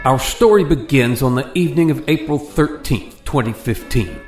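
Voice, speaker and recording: A man's voice: mid-range voice, normal speed, music in the background. An American man working as a radio host and narrator, presenting and reading a scripted story in an echoey room.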